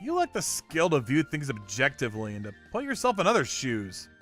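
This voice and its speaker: A man voicing a cool-headed young man in a manga dub who speaks flatly and critiques bluntly. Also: medium pitch, fairly quick. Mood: deadpan. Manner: flat, matter-of-fact, bluntly critical. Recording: faint background music.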